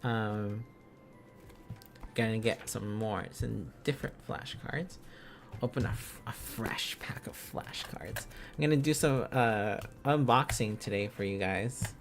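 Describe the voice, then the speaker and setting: A man's voice: lowish voice, slow pace, creaky, faint music behind. A man livestreaming in a casual, playful way, thinking aloud as he chats to viewers. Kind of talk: casual livestream study chat.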